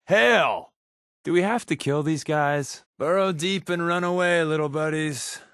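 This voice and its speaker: An actor voicing a wry, sarcastic undercover agent who tells playful tall tales, as companion lines for a video game. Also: male voice, a little high, unhurried, a bit bright, clean tone, no echo, clean studio sound. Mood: combat banter, exasperated. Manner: wry, joking, sardonic.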